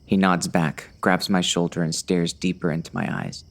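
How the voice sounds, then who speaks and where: A man playing an uneasy gunman who narrates a western audiobook in a brooding, gravelly, dramatic way. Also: low-pitched, level tone, normal speed. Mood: tense, wary. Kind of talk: first-person audiobook narration, present tense.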